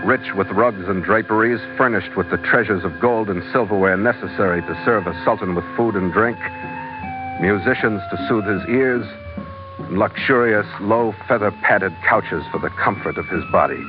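A man's voice: fairly low pitch, normal speed, lightly acted. A man playing a tough, wry adventurer in old radio drama, with a hard-boiled delivery. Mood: dry narration. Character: tough, wry adventurer hero narrating his exploits. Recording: dull old radio recording with music.

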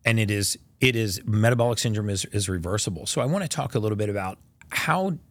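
Male podcast host, low-pitched, casual, punchy delivery, dry sound. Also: quick pace, no echo. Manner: energetic, casual, drops stats with emphasis. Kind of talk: conversational interview.

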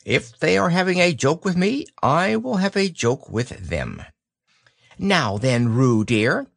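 A man voicing a brisk, motherly female kangaroo in a storybook, fussing, soothing and firm. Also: a little high, very animated, creaky, dry recording, studio quality, voice pushed up, heavily acted. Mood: cheerful. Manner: cheerful, fussing, mildly severe. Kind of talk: storybook character reading.

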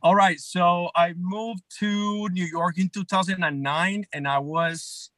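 A man's voice: sits high, fairly even intonation, takes it slowly, a bit bright, dry recording. A man, chatting loosely as a band guest and checking in with "right?" as he goes. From Colombia. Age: 30s. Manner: rambling, many restarts and "right?" checks. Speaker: band guitarist and songwriter guest. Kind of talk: casual interview chat.

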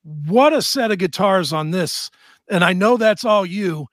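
A man hosting a casual interview, putting informal, wandering questions with emphatic pauses. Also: high-pitched, lively intonation, no room sound, studio quality. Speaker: interview host.

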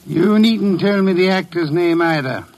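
An actor playing an erudite, imperious private detective in an old-time radio drama, speaking formally and precisely with dry wit. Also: male voice, high-pitched, normal speed, low-quality recording. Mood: dry, probing. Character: erudite, imperious male private detective, unhurried and dryly witty.

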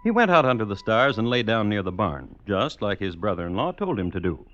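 Low, swooping, wry radio storyteller. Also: male voice, very animated, brisk, a bit bright. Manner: wry, droll, mock-solemn. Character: wry male storyteller of macabre tales. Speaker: narrator. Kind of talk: scripted old-time radio narration. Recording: muffled vintage radio recording. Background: music.

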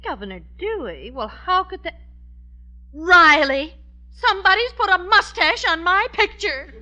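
A woman's voice: extremely high pitch, animated, unhurried, bright, forceful tone, exaggerated voice. An actress playing a sensible, exasperated housewife and mother in a 1940s radio sitcom, earnest and chiding. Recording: dull, noisy vintage radio recording.

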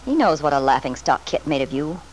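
An actress playing a scheming, flattering woman in an old-time radio soap, solicitous and emphatic with false devotion. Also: low-pitched, a little fast. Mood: indignant sympathy. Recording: noisy vintage radio drama recording.

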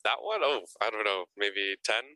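A young man answers interview questions in a casual, halting way, stumbling over and repeating his words. His voice sits low yet sounds very bright and edgy. The recording is close and dry. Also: no echo. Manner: hesitant, repeats words, frequent fillers. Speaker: actor guest.

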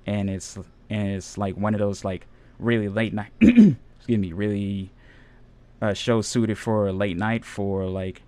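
Relaxed rambling male radio DJ. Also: on the low side, clean tone. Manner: relaxed, rambling, many fillers. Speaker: radio DJ and audio editor. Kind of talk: casual radio interview chat.

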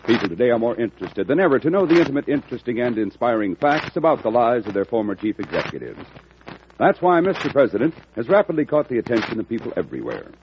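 A man giving a rousing, scripted introduction as host of an old radio program. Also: medium pitch. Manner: earnest, rousing delivery. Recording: dull, noisy old radio recording.

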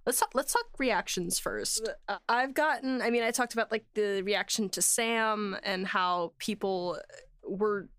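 A woman hosting a podcast, chatting casually and enthusiastically about making a show, quick to laugh. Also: mid-range voice, fairly bright tone, smooth, no room sound. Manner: effusive, enthusiastic, prone to laughing. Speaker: podcast host and series writer.

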